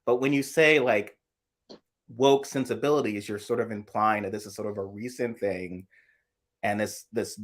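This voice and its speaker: A man from the American South co-hosting a casual chat, thoughtful and halting, often restarting his sentences. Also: on the low side, steady tone. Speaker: co-host.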